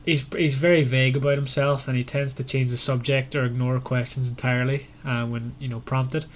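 A man's voice: medium pitch, level tone, a little fast, slight background noise. Man hosting a casual book chat. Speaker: podcast host.

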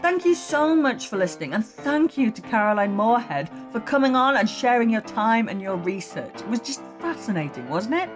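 A woman with a Yorkshire accent hosting a podcast, asking questions and signing off with enthusiasm and warmth. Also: fairly high pitch, big pitch swings, normal speed, few pauses, over music. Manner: enthusiastic, friendly. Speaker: podcast host. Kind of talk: podcast interview and outro.

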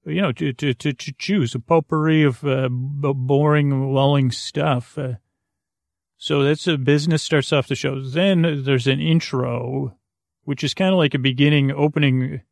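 A man with a gravelly, creaky voice in a dry, close recording. He hosts a bedtime podcast, meandering from tangent to tangent with hesitant restarts. The delivery is lulling and soothing, with very little rise or fall in pitch. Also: steady tone, measured pace, no room sound. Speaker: podcast host. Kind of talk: rambling bedtime monologue to lull listeners to sleep.

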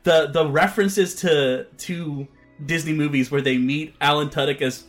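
A man's voice: fairly high pitch, soft background music. A man hosting a podcast, chatting with enthusiasm and laughing often. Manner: enthusiastic, animated, quick to laugh. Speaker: podcast host. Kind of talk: casual chat.

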